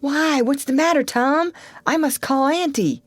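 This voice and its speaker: A woman voicing an anxious young boy who pleads in a high voice, close to tears, in an audiobook. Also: measured pace, no echo. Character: anxious young boy, tattling little brother. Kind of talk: audiobook character voice.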